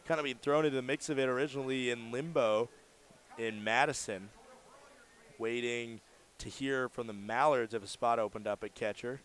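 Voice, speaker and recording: A man doing live radio play-by-play commentary of a baseball game, in a measured delivery that lifts for the action. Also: mid-range voice, fairly bright tone.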